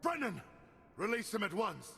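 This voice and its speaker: An actor playing a haughty, menacing crime boss and zealot in a video game, speaking in a grandiose, theatrical, deliberate way. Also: male voice, high-pitched, big pitch swings, creaky, bright, forceful tone, heavily acted. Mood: angry, alarmed. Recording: long hall reverb, faint noise.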